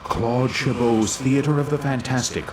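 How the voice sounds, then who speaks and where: A man announcing the closing credits of an audio drama in a formal, even way. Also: medium pitch, measured pace. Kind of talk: reading the closing cast credits. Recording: noisy recording.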